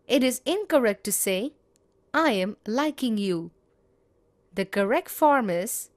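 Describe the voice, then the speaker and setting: A woman teaching grammar, reading example sentences in a measured, deliberate way. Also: a little high. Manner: measured, spells out each example. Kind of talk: slow, deliberate grammar lesson.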